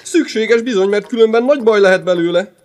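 A woman's voice: mid-range voice, a little mellow, lightly acted. A woman voicing a stern but kindly male doctor in a children's story, firm, knowing and coaxing.

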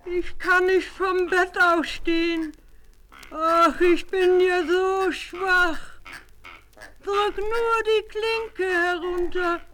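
A woman's voice: extremely high pitch, steady tone, fairly bright tone, soft background music, full character voice. A woman playing a frail, bedridden old grandmother in a children's audio play, weak but scolding. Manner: weak and slow, then scolding.

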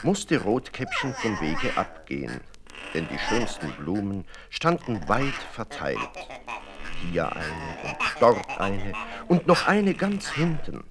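A man narrating a fairy tale in a children's audio play, expressive storytelling. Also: on the low side, slow pace, crowd noise.

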